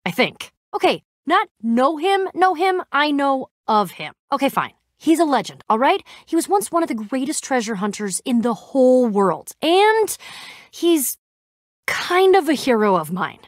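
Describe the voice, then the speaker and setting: Video game heroine: brash young adventurer, high voice, very animated delivery, slangy and eager. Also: female voice, a little slow, dry recording, studio quality. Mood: eager, nervous. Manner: casual, slangy, eager and awkwardly trying to sound cool. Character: brash young woman adventurer, excitable, sarcastic, starstruck fangirl. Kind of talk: animated game dialogue acting.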